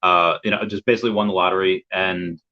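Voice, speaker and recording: A man's voice: sits low, fairly even intonation, fast. A young man from the United States, a guest in a casual interview chat over a video call, rambling and repeating words as he searches for his thoughts. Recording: dull lo-fi audio.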